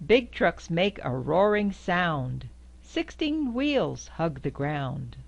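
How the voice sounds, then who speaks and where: A woman's voice: sing-song, slow, gravelly. An older woman reading a children's picture book aloud in a sing-song way, recorded indoors on a camera in front of her. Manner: sing-song, squeaks high on the horn sounds. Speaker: storybook reader. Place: indoors before a quilt backdrop.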